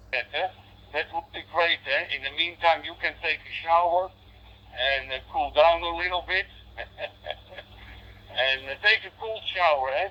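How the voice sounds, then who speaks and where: A man from the Netherlands with a Dutch accent chatting casually over digital ham radio, often adding "eh?" and repeating phrases hesitantly. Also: a little high. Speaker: amateur radio operator. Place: in a parked car, engine running. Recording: muffled, band-limited digital ham radio transmission.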